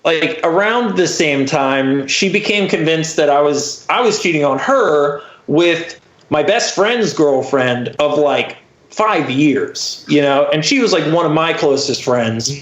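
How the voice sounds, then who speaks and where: A man's voice: on the high side, lively intonation, a little slow, a bit bright. A man in his thirties telling a personal story as a podcast guest in a casual, wry, self-deprecating way. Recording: roomy, echoey podcast recording.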